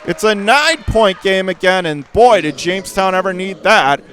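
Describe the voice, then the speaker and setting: A man calling live radio basketball play-by-play in clipped phrases that can burst into excited calls. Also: sits high, moderate pace. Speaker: play-by-play announcer. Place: courtside in a high school gym during a game.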